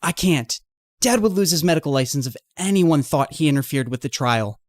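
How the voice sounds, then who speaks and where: A woman voicing an earnest, anxious, devoted teenage boy in an animated story. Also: low voice, brisk, dry recording, studio quality. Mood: worried.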